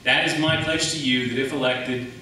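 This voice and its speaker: A man with a sharp, medium-pitched voice speaks at a political debate in a heavily echoing room, some way from the mic. He is a candidate from Wyoming and sets out his points deliberately, pausing for emphasis. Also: steady tone. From Wyoming, United States. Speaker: political candidate, private attorney. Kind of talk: campaign debate speech. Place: public debate in an echoey indoor room, mic at a distance. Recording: echoey room, distant mic, faint noise.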